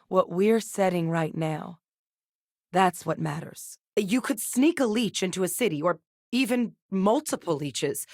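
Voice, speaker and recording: A steady, earnest scientist-soldier woman from a video game, her voice on the low side for a woman. She speaks in a measured, composed way, with a dry studio sound and no room around it. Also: dry recording. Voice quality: measured and composed, with sudden urgent shouts in combat. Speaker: game companion character.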